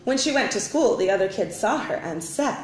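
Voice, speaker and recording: Animated woman reading a storybook aloud. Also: medium pitch, a little hiss or hum. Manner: expressive, deliberate phrasing. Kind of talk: children's storybook narration. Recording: roomy, echoing indoor sound.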